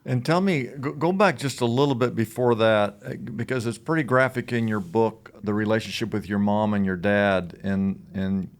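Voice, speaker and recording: A male interview host with a fairly low, clear voice in a dry-sounding recording. He speaks conversationally and earnestly, repeating phrases to drive a point home. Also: moderate pace, hardly any pauses, dry recording.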